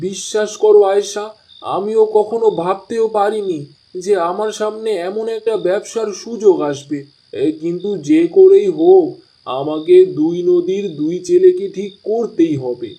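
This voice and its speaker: A man's voice: high voice, normal speed, mellow, natural voice. A man voicing an eager, friendly village fisherman in a cartoon dub. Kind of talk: cartoon dubbing.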